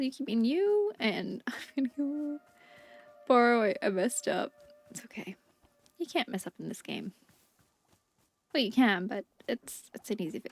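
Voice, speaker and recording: A woman's voice: high-pitched, vocal fry. A woman giving casual, chatty video game commentary, murmuring asides to herself. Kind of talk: casual gameplay commentary with dialogue read aloud. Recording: game music behind the voice.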